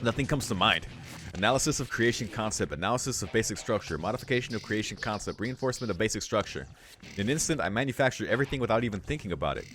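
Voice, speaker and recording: A man's voice: lowish voice, lively intonation, rapid-fire, background noise. A man reading visual novel narration aloud as a let's play host, with casual asides.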